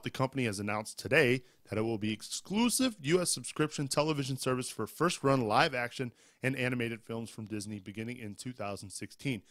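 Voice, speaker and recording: A man's voice: mid-range voice, a bit bright, no echo, clean studio sound. Man co-hosting a tech podcast. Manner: reads news headlines conversationally with fillers. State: just over a cold. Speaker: podcast co-host. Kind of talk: casual tech news chat.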